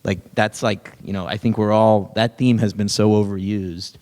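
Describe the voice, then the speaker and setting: A man's voice: lowish voice, steady tone, moderate pace, darkish tone, long reverb tail. A man on a writers' panel giving thoughtful closing remarks. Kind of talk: panel discussion. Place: lecture hall.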